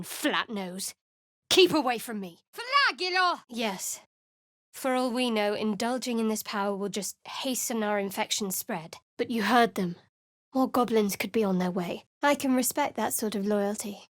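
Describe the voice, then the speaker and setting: A woman's voice: fairly high pitch, dry recording, natural voice. A woman voicing a guarded, sardonic young cleric adventurer in a game, with a dry, cutting delivery. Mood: incanting. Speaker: video game character. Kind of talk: game voice lines.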